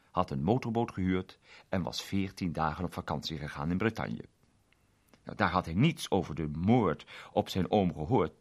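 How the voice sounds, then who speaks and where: A man with a low-pitched voice narrates a scripted story. His delivery is expressive, with lively, wide-ranging intonation throughout.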